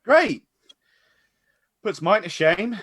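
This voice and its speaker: A man's voice: high-pitched, big pitch swings, very slow, fairly bright tone, full character voice. An actor playing a flustered, self-deprecating male amateur performer in a village radio comedy, hesitant and apologetic. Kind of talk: radio comedy drama acting.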